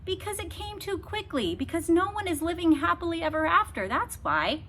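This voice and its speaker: Woman as an earnest high-voiced little girl. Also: animated, moderate pace, fairly bright tone, a little hiss or hum. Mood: indignant. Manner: protesting, emphatic.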